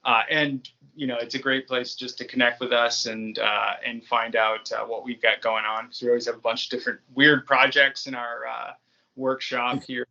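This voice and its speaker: A man's voice: mid-range voice, little pitch movement, moderate pace, vocal fry, sharp tone, muffled recording. An American man from New England chatting casually as an interview guest, in an easygoing, rambling way. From New England, United States. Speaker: podcast creator, interview guest.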